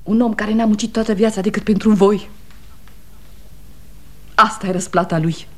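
A woman's voice: medium pitch, fairly even intonation, a bit bright, close to an everyday voice. An actress playing a weary, fiercely loyal aging wife and mother, pleading and reproachful, in 1960s radio theatre style. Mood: indignant grief.

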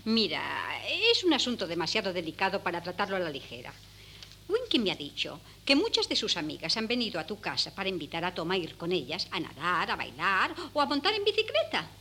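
A woman's voice: lowish voice, wildly swooping pitch, a little fast, sharp tone, slight background noise, heavily acted. A woman playing a frank, disapproving middle-aged family friend in a radio play. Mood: pointed. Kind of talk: 1970s Spanish radio theatre acting.

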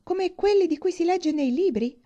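Woman reading as an eager, naive young woman, softly mellow. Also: fairly high pitch, animated, normal speed, lo-fi sound, voice pushed up. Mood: wonder. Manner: exclamatory, questioning, hesitant. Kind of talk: audiobook character dialogue.

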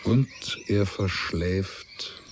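A male narrator of a literary radio drama, deep in voice and slow in pace. He sets out short fragments in a measured way, over a faint hiss.

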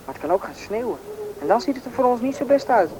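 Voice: mid-range voice, sing-song, fast, darkish tone, close to an everyday voice. A performer playing a bossy, knowing country boy, confident and impatient, in a radio drama. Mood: urgent. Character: bossy, knowing boy who grew up in the taiga. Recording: noisy old radio play recording.

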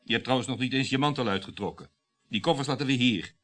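An actor in a Dutch radio play playing a domineering, mocking husband with a commanding, theatrical manner. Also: male voice, medium pitch, fast, fairly bright tone, natural voice. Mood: impatient. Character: domineering, mocking husband, a surgeon, brushing off guilt.

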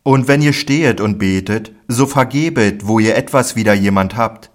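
A man voicing a calm, authoritative male teacher in a scripture reading, solemn and measured. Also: fairly low pitch, natural voice. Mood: earnest.

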